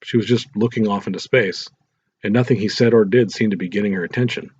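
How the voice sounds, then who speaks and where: Husky man reading a story aloud. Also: on the low side, fairly even intonation, fast, darkish tone, no room sound, highs cut off. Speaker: story narrator. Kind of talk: scripted audiobook narration.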